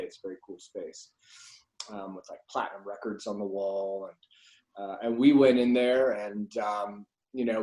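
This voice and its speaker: A man's voice: fairly low pitch, a little mellow. A middle-aged man, reminiscing casually as a voice actor being interviewed. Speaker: guest, voice actor. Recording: echoey room sound.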